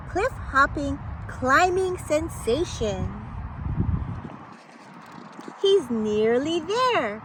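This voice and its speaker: A woman with a high voice reads a children's picture book aloud over clearly noisy ambience. She takes her time, delivering the story in a playful, dramatic way with exclamations and pauses for suspense.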